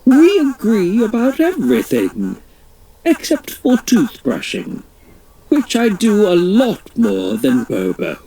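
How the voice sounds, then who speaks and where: Reader doing a shrill storybook queen, fussy, swooping wildly, drawn-out words. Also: huge pitch swings, dark tone, full character voice, low-quality recording. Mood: pompous. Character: excitable bossy queen with a jetpack.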